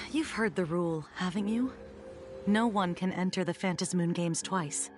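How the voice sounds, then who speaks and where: An actress playing a cool, composed security officer in a video game, speaking with dry, faintly wry, businesslike authority. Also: female voice, fairly low pitch, a little slow, faint music behind, close to an everyday voice. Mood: wry, admonishing.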